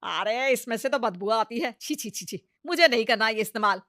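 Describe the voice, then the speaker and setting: A bright, forceful village mother-in-law, acted by a woman, high in pitch and recorded dry. She is bossy, stubborn and quick to temper. The delivery is loud, theatrical comic storytelling. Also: lively intonation, no echo. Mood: defiant.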